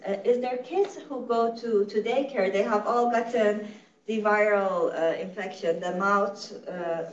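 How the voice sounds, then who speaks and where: Woman, Farsi speaker, earnest conversational lecturer, heard from afar in an echoey room, muffled audio. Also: mid-range voice. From Iran. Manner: earnest, explanatory, frequent self-corrections. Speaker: literacy program director giving a presentation. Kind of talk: conversational lecture to medical audience. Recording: echoey, distant, dull-sounding room recording.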